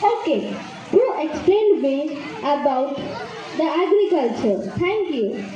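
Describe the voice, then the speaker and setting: A woman's voice: sits high, very animated, takes it slowly. A female student performer reciting scripted puppet-show dialogue with simple, rehearsed phrasing. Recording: muffled echoey room, faint chatter and noise.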